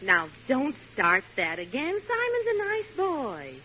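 A woman's voice: high-pitched, huge pitch swings, measured pace, pushed and bright, exaggerated voice. An actress playing a teasing, exasperated housewife and sensible mother in a 1940s radio sitcom, with a dry, chiding manner. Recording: hissy, muffled vintage radio broadcast.

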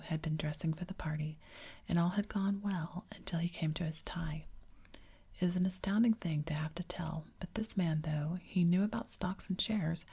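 Woman narrator, whispered ASMR storybook, low flat breathy voice. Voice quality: whispering throughout.

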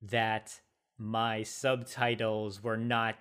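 A man's voice: fairly low pitch, completely flat delivery, very slow, a bit bright, smooth. A man chatting casually about films in a loose, informal way. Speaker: film discussion podcast speaker.

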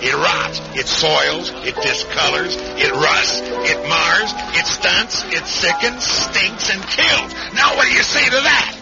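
A man's voice: high voice, takes it slowly, gravelly, hardly any pauses. A man performing an indignant, mounting monologue for a public service ad. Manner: indignant, building list-like rant. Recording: muffled old broadcast, loud music.

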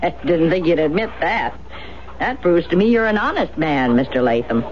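An actress plays a kindly old countrywoman who speaks in plain, folksy rural phrases. Her pitch swings freely. It is a 1970s radio drama with muffled sound and clear background noise. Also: expressive, moderate pace, close to an everyday voice. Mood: plain, probing. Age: older. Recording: dull, noisy 1970s radio recording.

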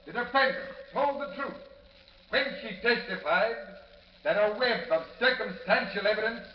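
A stern courtroom judge in a vintage radio play. He declaims formally and deliberately in a high voice. The old recording is dull and echoing. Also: expressive. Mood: solemn. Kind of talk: 1940s radio drama acting.

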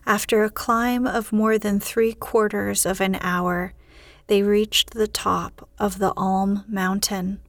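A woman hosting and narrating a bedtime podcast in a soothing, unhurried, hushed way. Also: mid-range voice, little pitch movement, no echo. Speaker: podcast host and bedtime story reader. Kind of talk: slow sleep-podcast narration.

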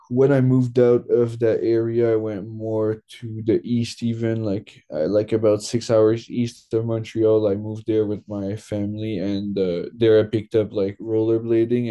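A man's voice: on the low side, little pitch movement, a little slow, warm tone. A young man from Quebec, a guest in a casual interview, talking loosely with lots of fillers. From Quebec, Canada. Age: young adult.

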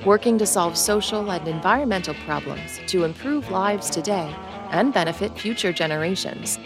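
A woman reading scripted sponsor announcements for a radio station in a smooth, steady way. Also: medium pitch.

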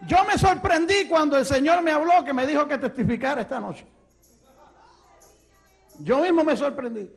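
A man with a Puerto Rican accent, an evangelist preaching and telling his testimony with fervor that rises to shouts. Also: very high voice, moderate pace, vocal fry, long pauses, faint music behind. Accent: Puerto Rican Spanish. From Puerto Rico. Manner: fervent, rising to shouts.

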